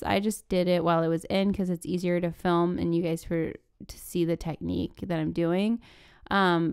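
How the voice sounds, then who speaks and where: A woman's voice: fairly low pitch, a little mellow, smooth. A woman giving a casual, chatty DIY tutorial in an informal, conversational, self-deprecating way. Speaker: DIY furniture-flip vlogger.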